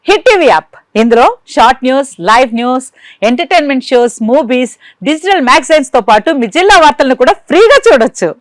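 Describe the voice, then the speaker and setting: A woman delivers a scripted promo in a high, very lively voice. The pace is quick and the recording is dry and close. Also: dry recording. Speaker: advertisement voice-over.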